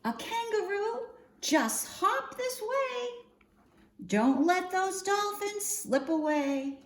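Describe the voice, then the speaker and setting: A woman reading a picture book aloud for young children in an expressive, singsong storytime manner, often posing questions to her listeners. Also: very high-pitched, takes it slowly. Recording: roomy echo, faint background noise.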